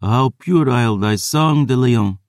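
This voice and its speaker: A man's voice: medium pitch, animated, measured pace, a little mellow, no echo, clean studio sound, pitched above the performer's own voice, close to an everyday voice. A man voicing a pair of ancient female vampire sisters who speak in haughty, archaic words. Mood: contemptuous.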